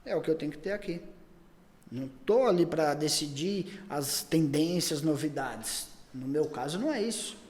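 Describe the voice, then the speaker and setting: A man's voice: a little high, animated, moderate pace, a little mellow, big-room sound. A middle-aged man, talking at length in a casual podcast chat and often restarting his words. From Brazil. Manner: talks at length, many repeated words and restarts. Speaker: pet store chain owner, guest.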